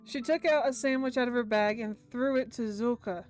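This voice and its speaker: A woman's voice: a little high, brisk, darkish tone, faint music behind. A woman game streamer reading a visual novel aloud, acting out the dialogue expressively and adding comments.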